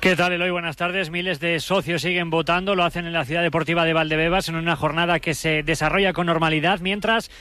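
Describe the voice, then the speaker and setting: High-pitched male radio sports reporter. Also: fairly even intonation, fast, sharp tone. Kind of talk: scripted radio news report.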